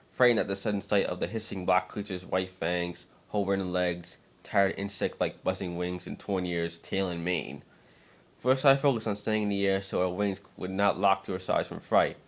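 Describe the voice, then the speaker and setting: A man with a fairly low voice reads a fan-fiction tale. He narrates in an expressive, dramatic storytelling manner, occasionally tripping over his words. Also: normal speed.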